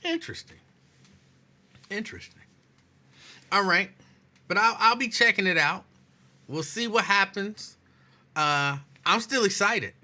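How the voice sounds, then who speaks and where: A man's voice: high voice, lively intonation, a little slow, long pauses, bright, forceful tone. A male talk show host losing his voice, chatting with listeners and repeating phrases. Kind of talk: casual talk show chat.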